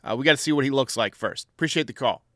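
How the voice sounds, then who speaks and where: A man's voice: mid-range voice, quick pace, sharp tone, no echo, clean studio sound. A man hosting a sports call-in show, giving commentary in answer to callers. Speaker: host of a baseball voicemail show.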